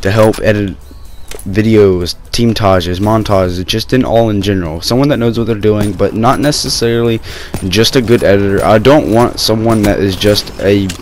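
A young man with a sore throat, talking low and rambling casually over gameplay footage on his gaming channel. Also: darkish tone, slight background noise. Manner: rambling, many fillers and restarts.

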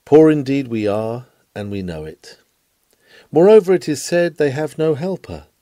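Earnest man preaching, very animated intonation. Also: medium pitch, normal speed, no echo. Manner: earnest, rhetorical, emphatic delivery. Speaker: sermon reader. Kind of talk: reading a 19th-century sermon aloud.

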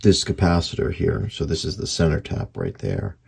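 Very deep, creaky-voiced man. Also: big pitch swings. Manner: unhurried, thinking aloud in short halting phrases. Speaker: hobbyist radio repairer, video host. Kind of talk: casual hands-on repair commentary. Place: indoor workbench.

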